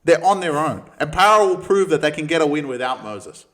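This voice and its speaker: A man's voice: fairly high pitch, expressive, a little fast, fairly bright tone. A man co-hosting a sports podcast, giving opinionated tips in casual, slangy banter.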